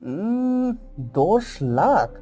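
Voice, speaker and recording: A man's voice: very high voice, big pitch swings, extremely slow, heavily acted. A man voicing a rich, demanding city businessman who talks in a businesslike way, sprinkling in English words and hesitant "ah"s, in a cartoon story. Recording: soft background music.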